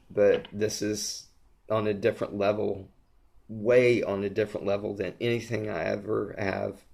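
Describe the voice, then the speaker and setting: A man with a hoarse voice, losing it to a sore throat, giving a rambling, gushing fragrance review in a casual vlog, with lots of ums and restarts. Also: lowish voice, a little mellow.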